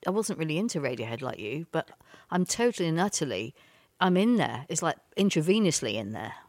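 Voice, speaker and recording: A woman's voice: low voice, very animated, fairly quick, no room sound, clean studio sound. A woman co-hosting a podcast, chatty, teasing and effusive in casual music talk. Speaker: podcast co-host.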